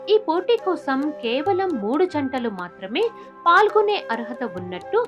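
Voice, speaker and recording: High, animated woman storyteller. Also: measured pace, lo-fi sound, over music. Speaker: narrator. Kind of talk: scripted moral story narration.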